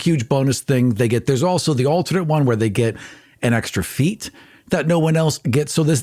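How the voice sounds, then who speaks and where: A man's voice: medium pitch, darkish tone. A man hosting a podcast, moving between announcing and conversational talk. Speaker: podcast host.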